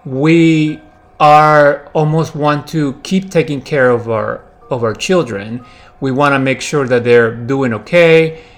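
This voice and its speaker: Solo podcast host, man in his 40s from Peru, thoughtful and hesitant, mid-pitched, soft music underneath. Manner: reflective, halting with many fillers and restarts. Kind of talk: casual solo podcast monologue.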